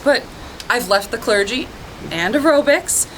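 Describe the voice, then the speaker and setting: An actress playing a brisk ex-ghost-hunter turned eager TV producer, speaking purposefully in a campy comedy sketch. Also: female voice, on the high side, expressive, background noise. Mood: determined.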